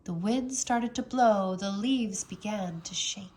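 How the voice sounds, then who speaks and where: A woman reading a children's storybook aloud as a teacher, with expressive, dramatic narration. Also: mid-range voice, takes it slowly.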